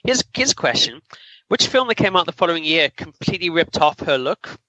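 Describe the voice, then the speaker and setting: Male podcast host, opinionated and informal, high-ish and strikingly bright, close dry sound. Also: big pitch swings, a little fast, no room sound, lo-fi sound. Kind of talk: casual film review chat.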